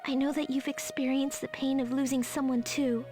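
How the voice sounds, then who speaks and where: An actress playing a teenage girl army medic in a video game, earnest, high-strung and tearful. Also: little pitch movement, moderate pace, mellow, soft background music, natural voice. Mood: sincere, subdued. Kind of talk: dramatic video game voice acting.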